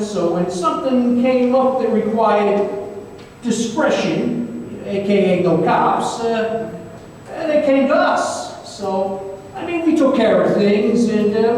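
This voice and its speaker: A man's voice: very high voice, lively intonation, unhurried, darkish tone. A man playing a jaded, wry retired private investigator in an old time radio drama, hesitant and rambling. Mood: wry, reminiscing. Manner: hesitant, rambling, filler-heavy storytelling. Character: jaded, wry retired small-town private investigator telling his tale. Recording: echoey hall, distant mic, faint noise.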